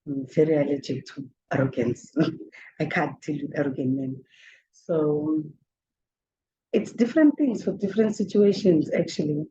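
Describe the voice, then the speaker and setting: Brief: woman, 40s, South African accent, low mellow voice, chatty interviewee, slightly dull recording. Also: normal speed. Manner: chatty, laughs often, mixes in Zulu words. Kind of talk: casual interview answers. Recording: slightly dull, faint background noise.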